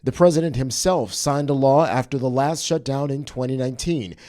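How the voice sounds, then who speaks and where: Male tv reporter doing voiceover, warm mellow tone, crisp delivery. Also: medium pitch, brisk. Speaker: news reporter. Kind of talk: scripted news report voice-over.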